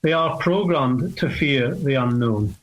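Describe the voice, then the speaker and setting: A Scottish man in his sixties, calling in to a radio talk show and speaking in a measured, hesitant way with many fillers. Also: medium pitch, slight background noise, rough recording. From north of Scotland. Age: 60s. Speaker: caller.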